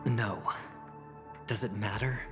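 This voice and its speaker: An actor playing a guarded young male gambler and negotiator in a video game, speaking slowly and deliberately. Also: on the low side, fairly even intonation, music in the background. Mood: strained, defensive. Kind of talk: dramatic video game voice acting.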